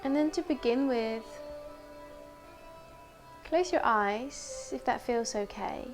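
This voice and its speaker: A woman teacher leading a guided meditation in a gentle, unhurried, conversational way. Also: on the high side.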